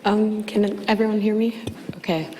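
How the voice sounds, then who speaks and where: A woman giving an academic conference talk, a little hoarse from losing her voice, speaking with many ums and uhs. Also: mid-range voice, fairly even intonation, normal speed, mellow, big-room sound, slight background noise, rough recording. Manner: hesitant, frequent ums and uhs. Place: lecture hall.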